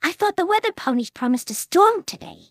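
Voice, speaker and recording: A woman's voice: extremely high pitch, animated, brisk, fairly bright tone, no echo, pitched above the performer's own voice. A woman voicing a refined, gracious young female pony from a cartoon fan story, with a polite, ladylike manner. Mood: gracious, genteel.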